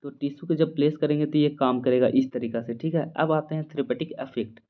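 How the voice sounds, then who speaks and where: A man's voice: on the high side, fairly even intonation, fairly quick. A man giving an informal lecture as a teacher, repeating key points and often checking that listeners understand. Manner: repetitive, checks understanding with short tag questions. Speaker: physiotherapy teacher. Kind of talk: informal lecture in Hindi mixed with English terms. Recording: muffled narrow-band phone-like sound.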